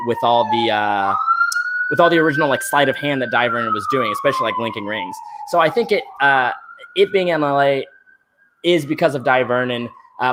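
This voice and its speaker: A man's voice: medium pitch, background noise. A man, a magician guest, talking casually and at length over a video call, full of fillers. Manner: casual, rambling, lots of "like" and "uh". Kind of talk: live-stream interview.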